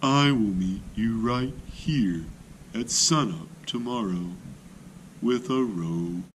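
A sly little storybook tortoise, acted out by a man, speaks very slowly and courteously. Each word is stretched out with care, with a faint hiss of noise behind. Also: lowish voice, poor audio. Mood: sly, polite. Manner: drawn-out, deliberate, courteous delivery. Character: slow-talking, clever little tortoise, sly and polite.

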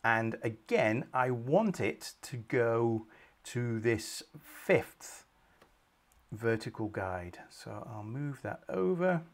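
A middle-aged man presenting a screen-recorded software tutorial from a small home office, calmly walking through each step with frequent ums. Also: medium pitch, slow.

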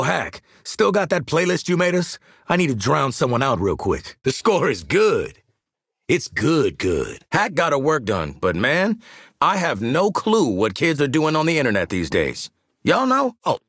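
A man's voice: mid-range voice, a bit bright, slightly rough, no echo, studio quality, heavily acted. An actor playing a cocky, irritable mercenary marksman in a video game, brash and wisecracking, with slangy delivery. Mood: wry, confident. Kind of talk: video game character voice lines.

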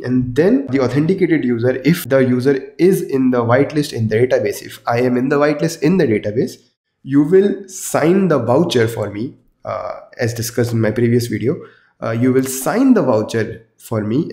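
A young man explains programming steps in a casual, conversational way, with plenty of small restarts. His voice is mellow and a little worn from a sore throat. It carries some echo from the small room he records in. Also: mid-range voice. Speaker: coding tutorial host. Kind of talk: casual explanatory coding tutorial. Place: small indoor room with wardrobe.

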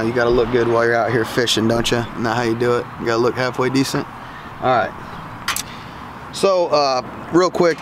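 A man in his thirties hosting a fishing channel, talking casually and enthusiastically to the camera outdoors by a park pond, with plenty of fillers and restarts. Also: medium pitch. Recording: outdoor camera audio with clear background noise.

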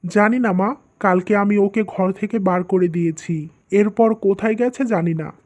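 A woman's voice: mid-range voice, normal speed, a little mellow, close to an everyday voice. A woman voicing a stern, easily hurt young husband and son in a cartoon drama. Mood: calm. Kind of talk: dubbed cartoon drama dialogue.

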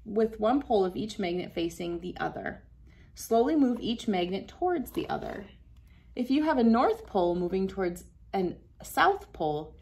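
A woman in her thirties with a mid-pitched voice reads a children's book aloud in an instructive way. She breaks off for casual asides to her young audience, speaking indoors in a small room a little way from the mic. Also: darkish tone. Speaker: children's read-aloud host.

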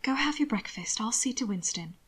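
A woman voicing a gentle, soft-spoken young male lord in an audiobook story. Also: a little high, animated, fairly quick, husky. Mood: hushed, reassuring.

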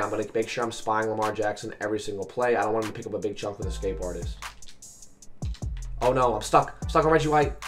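A man in his twenties with a raspy, hoarse voice, giving live gameplay commentary as a coach in short, repeated, slangy phrases. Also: fairly low pitch. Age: 20s. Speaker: gaming video host and coach. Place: small bedroom, indoors.

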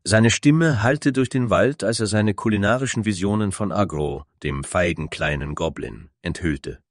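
Low-voiced male fantasy narrator. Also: normal speed, no room sound, studio quality. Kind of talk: scripted fantasy story narration.

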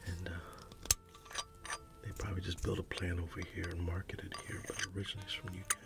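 Deep whispering middle-aged man. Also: completely flat delivery, creaky. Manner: whispering, unhurried, chuckling softly. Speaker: ASMR creator. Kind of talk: ASMR roleplay, soft-spoken reminiscing. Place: dim indoor room. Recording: soft music in the background. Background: music.

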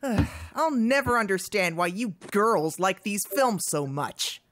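A woman's voice: mid-range voice, sing-song, takes it slowly, fairly bright tone. A woman voicing a grumpy, unimpressed male cartoon duck in a comic dub. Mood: grumbling. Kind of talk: live comic dub acting.

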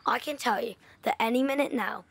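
A woman playing a sharp, observant young wartime boy, talking in a knowing, storytelling way in an audio drama. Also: on the high side, brisk, slightly rough, no echo. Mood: secretive whisper.

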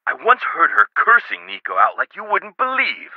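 Earnest, very animated lab owner. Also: male voice, fairly high pitch, moderate pace, no echo, narrow, tinny audio. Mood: suspicious, confiding. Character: earnest businessman lab owner, troubled and guarded. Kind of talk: video game voice acting.

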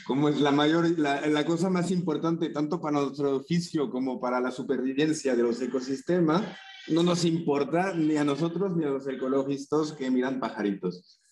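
A man's voice: a little high, normal speed, darkish tone. A man with a French accent presenting to a workshop as a farmer, talking informally over slides with many fillers, restarts and joking asides.

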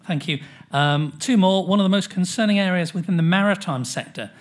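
A middle-aged man moderating a conference panel from a lectern, reading out audience questions and pushing to beat the clock. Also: high-pitched. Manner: brisk, reads audience questions, hurried by the clock. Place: large conference hall. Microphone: lectern microphone.

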